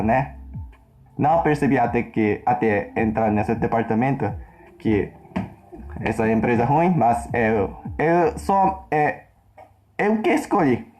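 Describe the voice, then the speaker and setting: Japanese man, mid-pitched, slow halting learner's delivery, soft music underneath. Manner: halting learner's speech, searching for words. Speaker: interviewee in a played video. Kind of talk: casual personal account.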